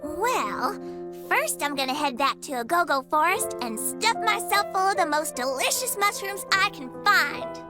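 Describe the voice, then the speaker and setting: Feisty tomboy girl, very high pitch, animated, blunt, hot-tempered, video game cutscene with music. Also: measured pace, heavily acted. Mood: eager, cocky. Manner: blunt, bossy, scrappy tomboy talk. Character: brash, hot-tempered young forest guardian girl. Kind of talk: English video game dub acting.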